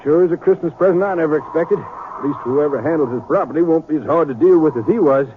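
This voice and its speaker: An actor playing a gossipy, unfeeling townsman in a western radio drama, brisk and matter-of-fact. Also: on the high side, lightly acted. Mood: callous, gossipy. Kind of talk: old-time radio drama acting. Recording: tinny radio with background noise.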